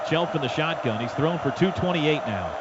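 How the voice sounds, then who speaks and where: A man's voice: a little high, animated, moderate pace. A man with an American accent calling a live football game on radio as the play-by-play announcer. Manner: shouts during big plays. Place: stadium radio booth. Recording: dull lo-fi radio, loud stadium noise.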